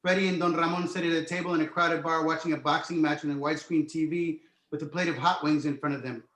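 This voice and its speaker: A man's voice: on the high side, fairly even intonation, quick pace, a bit bright. A man reading stage directions as scripted narration for a screenplay table read. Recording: roomy, echoey home recording.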